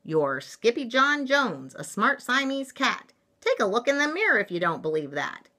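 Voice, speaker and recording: A woman's voice: lowish voice, big pitch swings, measured pace, sharp tone, slightly rough, voice pushed up, exaggerated voice. A woman voicing a bossy mother cat from a storybook, scolding in an emphatic, dramatic manner. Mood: firm.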